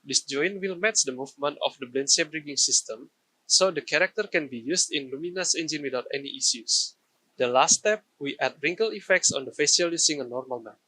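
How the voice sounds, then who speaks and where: A man's voice: fairly high pitch, unhurried, sharp tone, poor audio. A man giving a scripted technical presentation, explaining carefully step by step. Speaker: game studio artist presenting a workflow.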